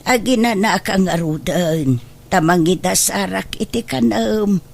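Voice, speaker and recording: A woman playing a loving, self-sacrificing elderly grandmother in a radio drama, soft and soothing in manner. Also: mid-range voice, measured pace, vocal fry. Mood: gentle, caring. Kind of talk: Ilocano radio drama acting.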